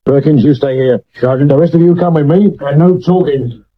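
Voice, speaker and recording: Brusque police inspector. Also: male voice, fairly high pitch, fairly quick. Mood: terse, commanding. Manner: terse, commanding, gruff. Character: brusque, dogged male Victorian police inspector. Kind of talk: period radio drama acting. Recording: muffled 1970s medium wave radio recording.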